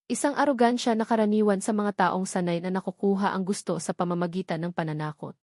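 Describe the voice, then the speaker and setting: Female storyteller for dramatic romance narration, mid-range pitch, scripted read, dry studio-like sound. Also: fast, darkish tone, no room sound.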